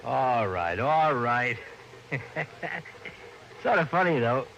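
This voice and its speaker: An American actor playing a good-natured, grumbling police sidekick in a 1950s radio drama, easygoing and gently needling. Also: male voice, mid-range voice, very animated, extremely slow, vocal fry, highs cut off, heavily acted. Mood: rueful amusement. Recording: faintly noisy old radio recording.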